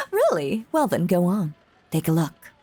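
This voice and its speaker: Woman acting a silky, breathy, sinister lady magician. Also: fairly low pitch, a little mellow, no echo, exaggerated voice. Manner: theatrical, flirtatious, silky and teasing. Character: sinister, seductive female stage magician, possessively doting. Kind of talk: intimate audio roleplay, scripted acting.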